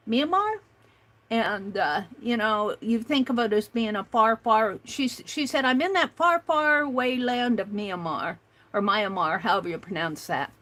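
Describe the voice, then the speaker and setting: An older woman hosting an art livestream, chatting slowly and reading out viewer comments, with many fillers and restarts. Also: a little high, bright, forceful tone. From United States.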